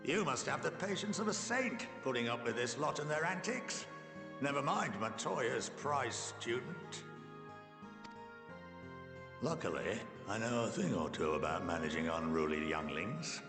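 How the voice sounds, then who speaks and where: A kindly, wry old scholar in a game cutscene. His theatrical delivery swoops and lilts with fond warmth. The voice rings in a large reverberant space, with music clearly playing behind it. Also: medium pitch, expressive, unhurried. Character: genial, avuncular elderly male scholar, fond and wry. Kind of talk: video game cutscene dialogue.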